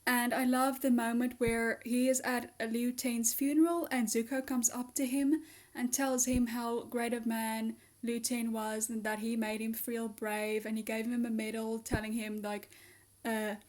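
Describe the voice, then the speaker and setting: A young woman with a raspy, hoarse voice from being sick, chatting casually and gushing as she reviews a show. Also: a little high, level tone, measured pace, a little mellow. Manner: gushing, chatty, with many fillers.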